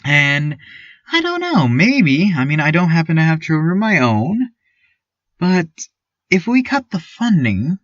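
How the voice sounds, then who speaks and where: A man playing a timid, awkward, eerily cheerful small-town radio news host, stammering and pausing through a surreal fiction podcast monologue. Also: on the high side, huge pitch swings, a bit bright, no echo, heavily acted. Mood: musing, earnest. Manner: hesitant, stammering, many ums and pauses. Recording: dull, lo-fi, slightly muffled sound.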